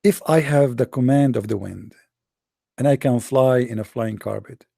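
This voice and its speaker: A man's voice: mid-range voice, normal speed, vocal fry, warm tone, no echo, clean studio sound. A man in a live online debate who reads a passage aloud and mocks it with sarcastic, mock-serious jokes. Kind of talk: live online debate, reading and mocking commentary.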